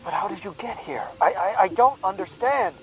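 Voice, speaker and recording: A man's voice: sits high, lively intonation, moderate pace, rough recording. A man playing a lovelorn space commander in a radio drama, with an urgent, pleading, emotional delivery. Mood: anguished, tender. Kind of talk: melodramatic radio drama acting.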